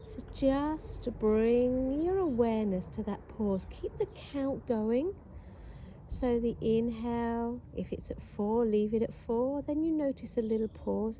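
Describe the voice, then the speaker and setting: A woman leading a guided breathing practice, counting the breaths calmly and steadily. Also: a little high, slight background noise. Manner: calm, slow, counting steadily. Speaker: breathing instructor.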